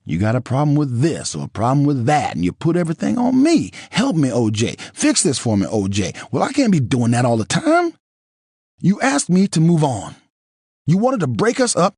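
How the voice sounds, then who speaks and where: Exasperated blunt ex-husband, read by a man, lively and husky. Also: medium pitch, moderate pace, no room sound, studio quality, voice pushed up, heavily acted. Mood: weary. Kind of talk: audiobook character dialogue.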